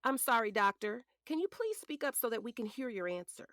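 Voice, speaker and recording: A woman's voice: on the high side, sing-song, fairly quick, fairly bright tone, no room sound, clean studio sound. A woman playing a polished defense attorney in a courtroom drama, formal, methodical and pointed. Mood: probing. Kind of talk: audio drama acting.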